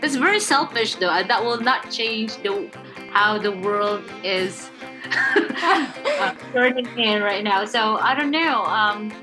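A woman's voice: fairly high pitch, animated, slow pace, over music, low-quality recording. A woman from the Philippines, a podcast guest talking frankly in casual chat and laughing often.

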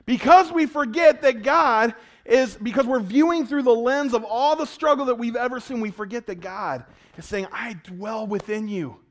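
A man preaches to his congregation in a conversational tone. His voice sits fairly high for a man. He asks the crowd questions and swings between loud, urgent lines and hushed ones. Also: normal speed. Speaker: pastor. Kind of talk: sermon.

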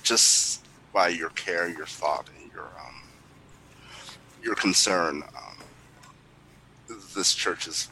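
A man giving a halting church testimony, pausing often with fillers. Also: a bass voice, vocal fry, bright, forceful tone, a little hiss or hum, poor audio. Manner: hesitant, many pauses and fillers.

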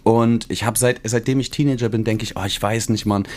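A man's voice: lowish voice, brisk. A man in his mid-thirties, a teacher co-hosting a podcast, chatting casually with slang and jokes. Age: 30s. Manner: slangy, excitable, joking. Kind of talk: casual chat.

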